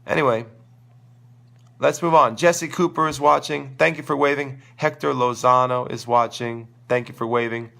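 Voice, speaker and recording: Gravelly hoarse guy with a sore throat, rambling tennis coach answering viewer questions, middle pitch. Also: fairly even intonation, normal speed, sharp tone. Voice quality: crackly, gravelly voice. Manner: enthusiastic, rambling, thinks aloud. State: sore throat, losing his voice. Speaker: tennis coach and show host. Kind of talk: live-stream Q&A talk.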